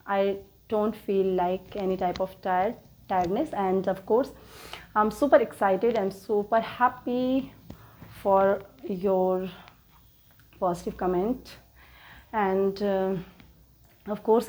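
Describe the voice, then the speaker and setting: Indian lady hosting her english practice channel, hindi native, slow halting english with lots of uhs. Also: mid-range voice, darkish tone, rough recording. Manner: slow, halting learner's English with many fillers and restarts. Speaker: English-learning channel host. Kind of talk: casual motivational talk to viewers.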